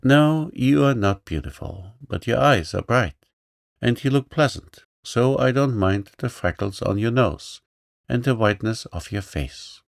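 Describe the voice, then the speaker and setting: A man's voice: medium pitch, expressive, a little slow, creaky, no echo, clean studio sound, pitched above the performer's own voice. A man reading aloud, voicing an impetuous, bossy little girl who talks frankly and eagerly.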